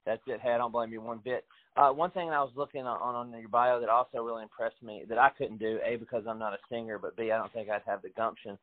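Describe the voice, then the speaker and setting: Fast-talking male host on a tinny line. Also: medium pitch, fairly even intonation. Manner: rambling, eager, many fillers. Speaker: interview host. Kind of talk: casual interview chat. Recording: phone-like narrow sound.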